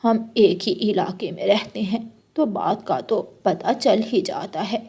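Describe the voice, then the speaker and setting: A woman's voice: medium pitch, a little slow, warm tone, slightly rough. A woman voicing a blunt, gossipy older neighbour mother-in-law bird in a children's cartoon, complaining and giving reproachful advice. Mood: advising. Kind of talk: Hindi children's cartoon story voice acting.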